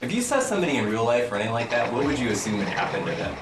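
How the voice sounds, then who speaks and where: A man's voice: mid-range voice, sing-song, rapid-fire. A man who works as a game designer, giving casual commentary over game footage with a joking, playful, dry manner. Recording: roomy, distant mic with game music. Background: game music.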